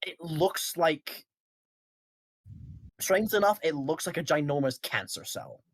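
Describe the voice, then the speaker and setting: A game master narrating an improvised tabletop game, sometimes halting to search for words. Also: medium pitch, expressive, no room sound, poor audio.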